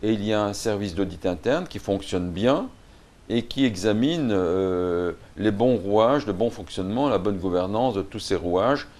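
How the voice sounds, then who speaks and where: A man's voice: lowish voice, lively intonation, a little slow, a little mellow. A man from Belgium being interviewed as a guest expert, thoughtful and hesitant with many fillers.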